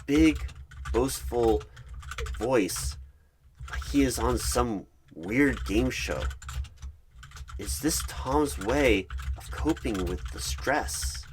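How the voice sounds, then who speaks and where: A man narrating an ASMR roleplay in the third person, deliberately, in short dramatic phrases. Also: mid-range voice, animated, a little hiss or hum.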